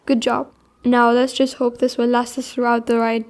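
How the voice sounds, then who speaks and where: A woman's voice: on the high side, flat delivery, normal speed, darkish tone, natural voice. A woman playing an anxious, candid young daughter fleeing war in a radio drama, earnest and plainspoken. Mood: practical.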